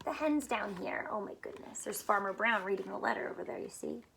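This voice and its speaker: A woman's voice: mid-range voice, brisk, vocal fry, a bit bright, whispered. A woman teacher reading a picture book aloud to children, expressively, with simple explanations and questions.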